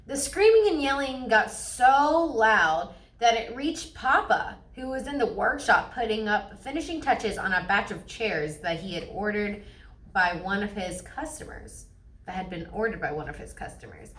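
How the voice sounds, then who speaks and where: A woman's voice: medium pitch, lively intonation, moderate pace, a bit bright, far from the mic. A woman storyteller reading a children's picture book aloud expressively. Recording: echoey room.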